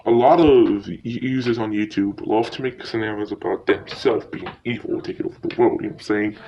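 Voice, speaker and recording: A man hosting a casual screen tutorial, talking informally and hesitantly through each step. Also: mid-range voice. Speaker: tutorial video host. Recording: muffled lo-fi mic with loud noise and clicks.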